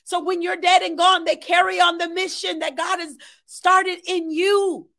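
A woman with a high-pitched voice preaches an impassioned sermon from a small room at home. She exhorts her listeners emphatically, repeating phrases to drive each point in. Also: little pitch movement, unhurried, gravelly, a bit bright.

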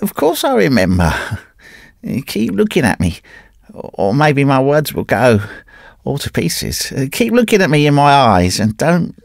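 A man playing a broken, ragged, grey-haired wanderer who whines and pleads, halting often with "uh" as he speaks. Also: mid-range voice, huge pitch swings, vocal fry, no room sound, studio quality, pitched above the performer's own voice, exaggerated voice. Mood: pleading. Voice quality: whining, whimpering.

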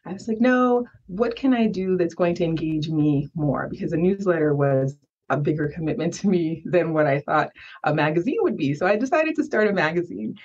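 A woman's voice: lowish voice, expressive, a little fast, darkish tone, slightly rough, muffled recording. A Haitian-born woman whose first language is Haitian Creole, speaking as a podcast guest, emphatic and often checking in with "right?". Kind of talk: conversational storytelling.

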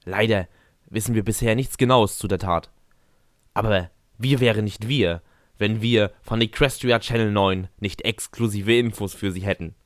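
Man as solemn tabloid tv reporter, news parody, lowish voice, dry close sound. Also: normal speed, no room sound, close to an everyday voice. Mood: grave.

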